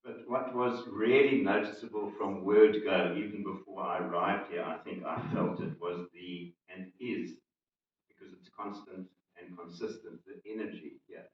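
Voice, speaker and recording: A man giving an informal testimonial at a retreat, building his thoughts deliberately phrase by phrase. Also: low-pitched, fairly even intonation. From South Africa. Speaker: retreat participant sharing a testimonial. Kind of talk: informal public sharing. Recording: echoey hall, distant dull mic.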